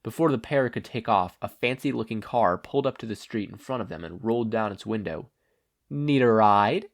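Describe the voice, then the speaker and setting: A man's voice: fairly low pitch, brisk. A man reading scripted fan fiction aloud as a narrator. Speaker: podfic narrator.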